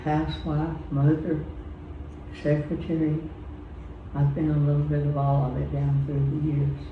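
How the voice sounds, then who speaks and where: A woman's voice: low voice, monotone, unhurried. An older woman plainly introducing herself and her family in a matter-of-fact way. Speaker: interviewee. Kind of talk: casual self-introduction. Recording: echoey, dull, noisy room.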